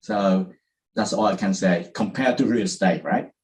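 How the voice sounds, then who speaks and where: A man's voice: low-pitched, normal speed, a little mellow. A man from Vietnam, a guest in an interview, who talks casually with many fillers and restarts. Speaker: interview guest, property investor.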